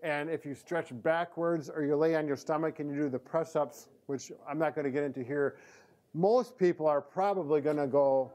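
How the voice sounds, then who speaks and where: Male, American, 50s, slightly higher register, casual explanatory physical therapist presenter. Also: normal speed, darkish tone. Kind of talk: casual instructional demonstration. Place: indoor therapy room.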